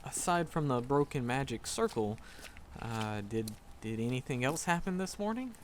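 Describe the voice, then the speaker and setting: A man's voice: mid-range voice, lively intonation, slight background noise, natural voice. A man playing a shy, flustered magic professor in a roleplay audio drama, stammering and nervous as he talks to a secret crush. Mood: shy, friendly. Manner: nervous, halting, stammering, rambling. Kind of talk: roleplay audio drama, intimate one-sided dialogue.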